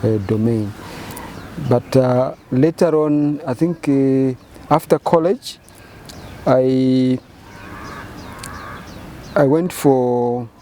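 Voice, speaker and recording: A Zambian man in his fifties speaks slowly and thoughtfully, stopping often and repeating words as he gathers his thoughts. His voice is mellow and dark. Faint traffic noise hums behind him. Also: medium pitch. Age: 50s. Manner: measured, halting phrasing with repeated words and fillers. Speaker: painter and photographer interviewee. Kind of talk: reflective interview answers.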